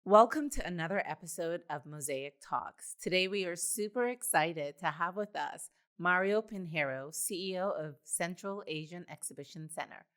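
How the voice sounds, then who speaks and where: A woman hosting an interview podcast, reading out her questions in a polished, upbeat way. Her voice is notably deep for a woman. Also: moderate pace, hardly any pauses, a bit bright. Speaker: podcast host.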